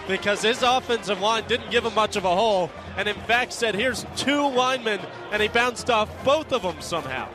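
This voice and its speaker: A man provides football analysis in a high-pitched voice. His commentary is conversational, explaining the play as a color analyst, with music clearly heard alongside him. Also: expressive, moderate pace, hardly any pauses.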